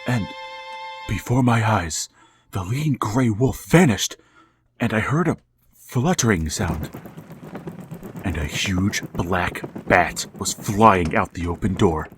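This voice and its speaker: A haunted, grieving father tells his grim tale in a lowish voice, grave and tormented. Faint violin music runs underneath this radio drama. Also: slow, pauses often. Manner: grave storytelling, breaking into anguish. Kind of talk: old-time radio drama narration.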